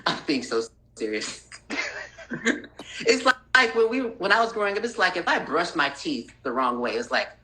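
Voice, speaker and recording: Podcast guest from Texas, bright, forward tone, playful and animated storyteller. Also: male voice, on the high side, moderate pace, rough recording. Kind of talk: casual podcast chat.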